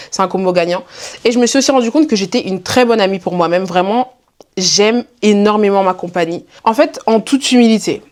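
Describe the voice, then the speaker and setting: A woman's voice: medium pitch, darkish tone. A woman in her twenties from Belgium, introducing herself to camera in a chatty, playful, self-mocking way. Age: 20s. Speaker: YouTuber.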